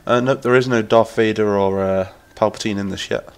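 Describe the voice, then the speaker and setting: Nasal English streamer with a cold. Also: male voice, lowish voice, steady tone, brisk, clean tone. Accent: Lincolnshire English. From England. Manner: chatty, enthusiastic, rambling, reading chat aloud. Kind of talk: casual live-stream chat while gaming.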